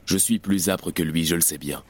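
Very low, husky, austere revolutionary man, acted. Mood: grim, reflective. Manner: grave, austere, deliberate. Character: harsh, unbelieving, hard-line male revolutionary. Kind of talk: audio drama acting of a stage play.

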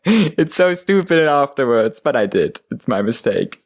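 A man's voice: mid-range voice, sing-song, measured pace. Young guy, giggly and sleepy-voiced, easygoing interview guest. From Germany. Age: young adult. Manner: giggly, restarts words, chatty. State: sleepy, tired voice. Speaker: guest singer. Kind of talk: casual interview chat.

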